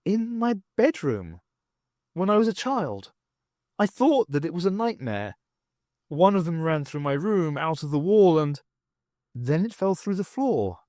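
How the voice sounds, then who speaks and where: A man voicing a sharp, inquisitive woman adventurer who questions things emphatically. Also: fairly high pitch, sing-song, measured pace, no echo, clean studio sound, pitched above the performer's own voice. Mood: wondering.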